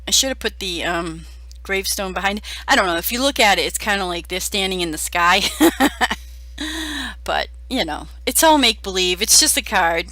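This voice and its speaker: Hoarse, chatty woman crafter. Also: medium pitch, sharp tone, dry recording. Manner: chatty and self-deprecating, many fillers. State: hoarse from a cold. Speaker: card-making crafter. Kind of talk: casual crafting voice-over.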